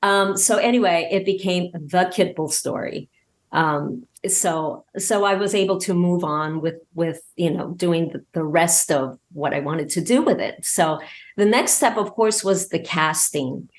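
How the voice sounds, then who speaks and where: A woman's voice: on the low side, unhurried. A woman guest chatting casually in an interview about her own creative work, acting out bits of dialogue as she tells it. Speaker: guest, audio drama podcast creator.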